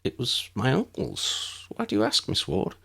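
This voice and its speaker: Guy doing a shy schoolboy wizard, down on himself, polite mumbly, bit husky, storybook. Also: mid-range voice, wildly swooping pitch, a little slow, a bit bright, voice pushed up, exaggerated voice. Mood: wary. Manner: muttering, unsure, polite. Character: shy, dejected schoolboy wizard lacking confidence.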